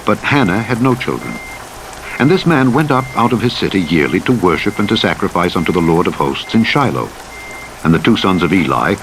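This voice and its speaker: Deep, animated male scripture narrator over vehicle noise. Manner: solemn, measured, expressive storytelling delivery. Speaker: audiobook narrator. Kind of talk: scripted dramatic Bible reading. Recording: noisy, with vehicle and rain-like rumble. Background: truck simulator game driving noise.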